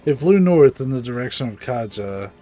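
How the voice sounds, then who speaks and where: A man voicing an earnest, dutiful guard from a video game, reading the formal dialogue aloud with deliberate urgency. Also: mid-range voice, lively intonation, dark tone, soft background music, natural voice. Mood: watchful.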